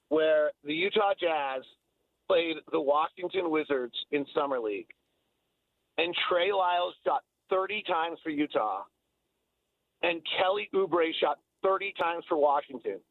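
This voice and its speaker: A man's voice: a little high, expressive, measured pace, long pauses, no echo, phone-quality sound. A man giving emphatic, opinionated basketball analysis in a sports radio conversation, repeating phrases to drive points home. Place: radio talk show.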